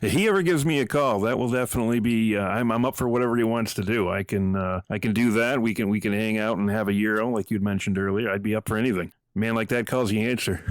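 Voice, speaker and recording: A man with a lowish voice, recorded dry, talking as a podcast guest. He is informal and self-effacing, rambling along in a conversational way. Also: big pitch swings, a little fast, dry recording. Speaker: podcast guest, audio drama writer. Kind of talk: casual conversational interview.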